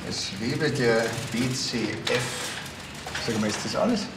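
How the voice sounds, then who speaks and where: A man's voice: medium pitch, unhurried, very noisy, lightly acted. An actor playing a dry, grumpy village policeman in a TV comedy drama, terse and sardonic, with a Bavarian accent. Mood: flat reading aloud.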